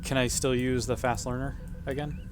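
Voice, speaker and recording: A man's voice: mid-range voice, little pitch movement, moderate pace, noisy background. A man talking casually as a player working through moves in a tabletop role-playing game.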